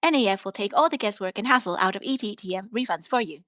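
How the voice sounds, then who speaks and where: A woman reads prepared literary prose aloud in a mid-pitched voice. The sound is dry and close, with hardly any room in it. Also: lively intonation, quick pace, a bit bright, no echo. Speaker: reader of poetry and prose on a podcast. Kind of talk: scripted literary reading.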